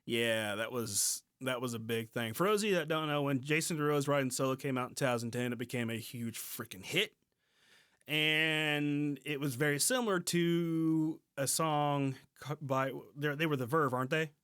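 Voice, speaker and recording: Male American podcast host, slightly high voice, informal and halting chat, very dry sound. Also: a bit bright, smooth, no room sound. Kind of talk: casual music podcast chat.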